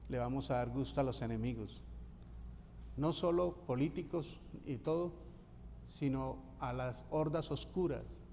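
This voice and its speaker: A man's voice: mid-range voice, darkish tone, long reverb tail, slight background noise. A man from Colombia, preaching a sermon as pastor, emphatic and repeating key phrases for rhythm. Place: church sanctuary.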